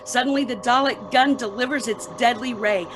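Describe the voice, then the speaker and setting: A woman's voice: a little high, moderate pace, background noise. A woman reading scripted narration and stage directions for a radio play.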